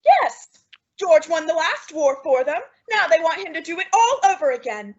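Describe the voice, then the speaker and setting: A woman playing a dramatic, scheming housewife in an old-time radio sitcom, with exaggerated, drawn-out comic emphasis. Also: very high-pitched, sing-song. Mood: alarmed, indignant. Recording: dull lo-fi old radio recording.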